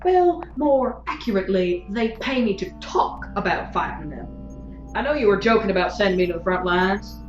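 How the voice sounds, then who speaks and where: A woman's voice: medium pitch, animated, normal speed, faint music behind, natural voice. Woman acting a flippant, needling cynic, muffled and roomy. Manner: flippant, teasing, prodding. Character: bored, needling, cynical hired war commentator. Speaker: actor in an audio drama. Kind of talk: audio drama acting.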